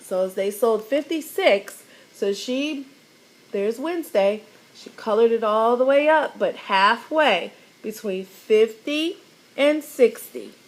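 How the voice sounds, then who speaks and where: A woman reading a children's picture book aloud, animated and teacherly, pausing to explain the pictures. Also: a little high.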